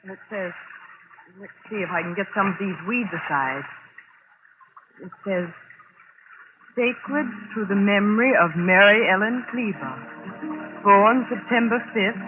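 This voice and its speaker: A woman's voice: mid-range voice, close to an everyday voice. An actress playing a polite but frank young secretary who stands her ground, in old-time radio drama style. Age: young adult. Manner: deferential but candid. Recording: noisy, tinny vintage radio recording.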